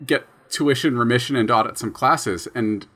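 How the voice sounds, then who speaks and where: A man's voice: medium pitch, moderate pace, bright, forceful tone. A young man co-hosting a casual podcast chat, explaining his sound design habits in a thoughtful, self-deprecating way. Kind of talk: casual conversation.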